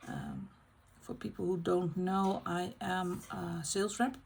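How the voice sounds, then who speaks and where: A middle-aged woman from the Netherlands with a Dutch accent, chatting casually in English as the host of a colouring channel, pausing often to find her words. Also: on the low side, wildly swooping pitch, gravelly, a little mellow, a little hiss or hum. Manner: unscripted, pauses to find words. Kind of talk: casual chat while colouring.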